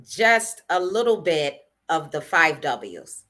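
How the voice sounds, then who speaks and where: A woman's voice: low-pitched, sing-song, slow, fairly bright tone. A woman hosting an interview, polite and affirming toward her guest. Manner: polite, affirming, says yes ma'am. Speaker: host. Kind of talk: casual interview.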